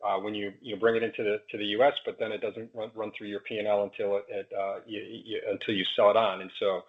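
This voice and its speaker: A man with a lowish, husky voice explains figures as a finance executive on an earnings call. His delivery is halting, with repeated words, and stays very flat. The audio is slightly muffled. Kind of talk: answering analyst questions on earnings call. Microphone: conference call line.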